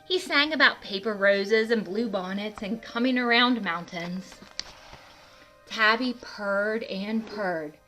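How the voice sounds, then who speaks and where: A woman reading a picture book aloud to children in an animated way, adding asides and questions to the listeners. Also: mid-range voice, slow, soft background music, rough recording. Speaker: read-aloud host for children.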